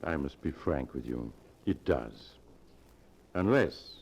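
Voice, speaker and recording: An actor playing a grave, formal doctor in 1940s radio drama style, solemn and measured. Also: male voice, extremely low pitch, sing-song, gravelly, darkish tone, slight background noise, heavily acted. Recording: muffled 1940s radio recording.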